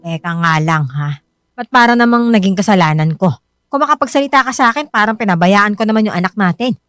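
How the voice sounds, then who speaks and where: An actress playing a devoted, hard-pressed wife and mother who is quick to bristle, with expressive, emphatic delivery in a radio drama. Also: medium pitch, clean tone, dry recording, clean studio sound, lightly acted. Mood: indignant. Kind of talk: Filipino radio drama acting. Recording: music bed under the voice.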